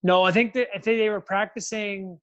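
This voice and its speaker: A man's voice: sits high, fairly even intonation, fairly quick, fairly bright tone, lo-fi sound. A man, chatting casually on a podcast in an easygoing, rambling, self-amused way. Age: 30s. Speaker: podcast co-host. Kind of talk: casual chat.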